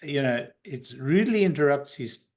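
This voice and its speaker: A man's voice: fairly high pitch, expressive, moderate pace. A man giving casual market commentary as a guest, hesitant and hedging, with dry humour.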